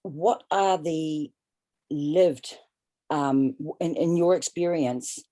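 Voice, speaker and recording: A woman taking part in a workshop, asking a question over a video call, halting and searching for words. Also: low voice, dark tone. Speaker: workshop participant.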